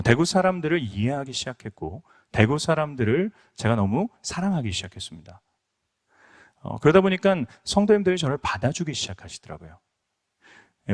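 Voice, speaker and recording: Earnest pastor, lively pitch. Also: male voice. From Incheon, South Korea. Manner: earnest, storytelling with many fillers. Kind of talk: conference lecture. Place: conference hall.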